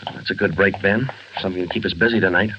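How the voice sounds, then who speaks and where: An actor playing a terse, dogged American police sergeant, clipped and matter-of-fact, in 1940s radio drama style. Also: male voice, sits low, fast, a little hiss or hum. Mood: businesslike. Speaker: lead actor and narrator. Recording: dull vintage 1940s radio recording.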